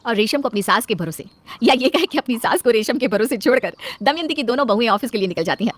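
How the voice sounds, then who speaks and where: A woman's voice: on the high side, lively intonation, quick pace, no room sound. A woman reading scripted narration for a domestic family story.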